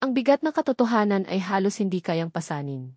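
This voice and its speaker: A woman's voice: medium pitch, very animated, brisk, darkish tone, no room sound, studio quality. A woman narrating a scripted dramatic story.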